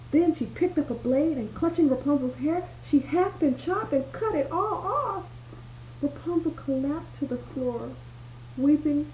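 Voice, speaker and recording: A woman reads a bedtime story in a mellow, slightly high voice. She is dramatic and expressive, adding little explanations for a young listener. It is a roomy home recording. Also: slight background noise. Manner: dramatic reading with small explanatory asides. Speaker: storyteller reading to a child.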